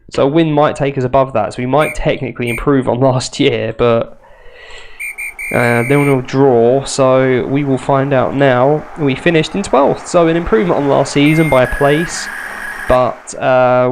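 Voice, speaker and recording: A young man commentates on his game in a nasal, congested voice, clearly bunged up with a cold. His tone is casual and wry, full of self-mocking asides. The voice sits in a middle pitch with a gravelly edge, over a faint hiss. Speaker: gaming youtuber. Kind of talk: casual let's play commentary.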